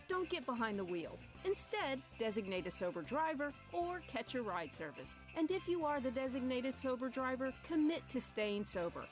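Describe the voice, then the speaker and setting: A woman with a high, very animated voice reads a scripted radio public service spot. She is upbeat and emphatic, and festive music plays clearly behind her. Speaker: advert voice-over. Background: holiday jingle music.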